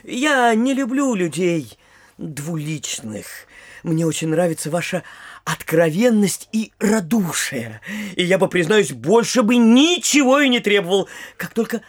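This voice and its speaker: High-voiced vain young dandy. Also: male voice, big pitch swings, a little slow, exaggerated voice. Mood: self-important, gracious. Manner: affected, pompous, stammering. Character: vain, flighty young gentleman idler putting on airs. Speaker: actor. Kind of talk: theatrical audio drama of classic comedy.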